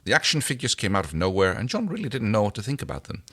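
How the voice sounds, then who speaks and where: Lowish, animated male podcast reader. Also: rapid-fire, husky. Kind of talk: fan fiction reading and casual chat.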